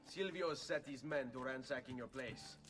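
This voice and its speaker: An actor voicing a composed young male assassin, calm and measured. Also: mid-range voice, over music, lightly acted. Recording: game audio with ambient noise underneath.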